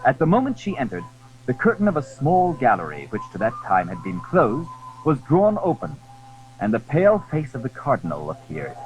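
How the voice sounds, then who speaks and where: A man narrating a dramatized period story in a formal, measured, expressive storytelling style. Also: mid-range voice, faint music behind. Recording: dull, hissy old audio drama recording.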